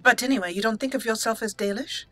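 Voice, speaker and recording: Casting: female gaming host, bright forward voice, chatty roleplay commentary, faint music behind. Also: fairly high pitch, quick pace, no room sound. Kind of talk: roleplay let's play commentary, reading dialogue aloud.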